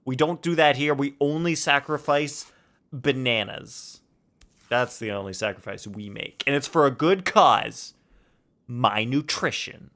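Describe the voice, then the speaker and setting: A man's voice: mid-range voice, unhurried, fairly bright tone. A young man streaming a game, chatting casually and playfully with viewers and joking as he reacts. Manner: playful, jokey, reads chat aloud.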